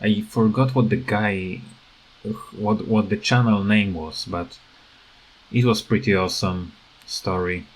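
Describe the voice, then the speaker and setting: A tired man who is not a native English speaker, giving a casual unscripted rant in a halting, rambling way that repeats words. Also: fairly low pitch, fairly even intonation, poor audio. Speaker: gaming video creator.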